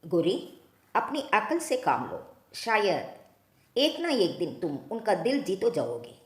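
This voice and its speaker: A sympathetic female sparrow from a cartoon tale, voiced by a woman. She gives earnest advice in a lively, varied melody. The sound is clearly roomy. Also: mid-range voice, a little slow. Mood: advising. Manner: apologetic, earnest. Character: sympathetic female sparrow friend, gently advising. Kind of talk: Hindi cartoon story character acting.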